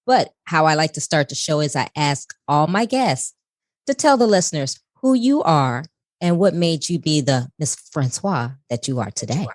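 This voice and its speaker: A woman's voice: low voice, expressive, moderate pace. A woman hosting a podcast, reading a scripted intro and then chatting in an effusive, upbeat way. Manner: warm and effusive, laughs easily. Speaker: podcast host.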